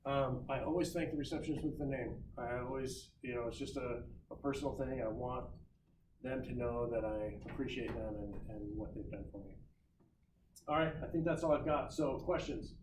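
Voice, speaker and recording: A man's voice: mid-range voice, normal speed. A man speaking as a guest recruiter in a class, giving career advice in a loose, conversational way with lots of ums and restarts. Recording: roomy, distant mic, noisy.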